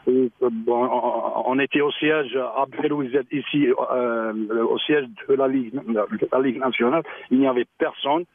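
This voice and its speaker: A man, a local mayor, speaking on a radio phone-in, halting and repeating words, with a choked-up voice. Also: mid-range voice, fairly even intonation, gravelly, slightly rough. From Algeria. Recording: tinny phone line.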